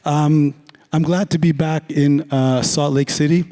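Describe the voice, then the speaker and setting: A hoarse man with a raspy voice giving a casual, joking conference talk, full of fillers. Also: a little high, steady tone, measured pace, mellow. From Canada. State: hoarse, lost voice. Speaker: conference speaker. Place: large conference hall. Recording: long hall reverb.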